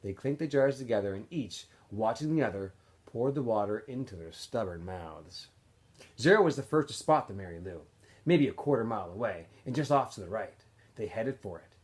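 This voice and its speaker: A man reading a novel aloud as a teacher would for students, in an expressive, animated storytelling way with phrases that often trail off softer. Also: on the low side, normal speed. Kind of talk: expressive audiobook-style read-aloud narration.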